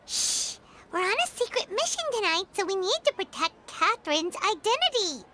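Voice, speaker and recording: A woman voicing a small, excitable, bossy fairy companion with a squeaky childlike voice in an anime game. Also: normal speed, a little hiss or hum, heavily acted. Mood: chirpy, secretive. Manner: exclamatory, expressive, refers to self in third person. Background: game background music.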